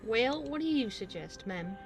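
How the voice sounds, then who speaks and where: A woman's voice: on the high side, animated, unhurried, over music. A woman streaming a game with casual commentary, giving short offhand reactions and reading choices aloud.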